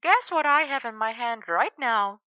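A woman's voice: fairly high pitch, lively intonation, measured pace, no echo, lo-fi sound, voice pushed up. A woman voicing a bubbly, flirtatious female dance coach with a teasing, sing-song manner.

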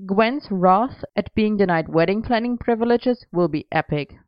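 A woman voicing an excitable, playful young man who talks in an animated, cheeky way. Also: medium pitch, moderate pace, dry recording, lo-fi sound, lightly acted. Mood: excited.

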